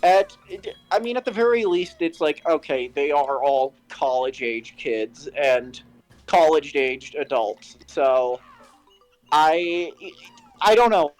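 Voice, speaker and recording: A co-host of a fan fiction reading show chatting casually, rambling and halting through jokes and critique. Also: mid-range voice, low-quality recording. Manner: rambling, halting, animated joking. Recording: music playing underneath. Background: music.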